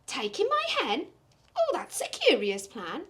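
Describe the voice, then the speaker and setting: A woman's voice: high voice, huge pitch swings, unhurried, a bit bright, a little hiss or hum, full character voice. A woman voicing a flustered, grumbling little old lady in a storybook, exclaiming and pleading dramatically.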